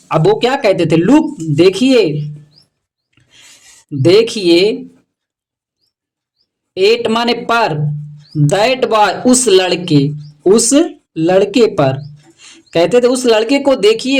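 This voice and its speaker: Slow, deliberate Indian language teacher. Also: mid-range voice. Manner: repetitive, deliberate explaining. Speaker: English teacher. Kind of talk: slow word-by-word reading lesson with translation.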